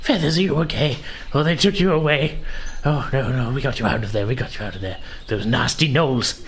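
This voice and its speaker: A man's voice: mid-range voice, a little fast, slight background noise, lightly acted. A man voicing a fretful, caring male animal keeper in a tabletop fantasy game. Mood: anxious, doting.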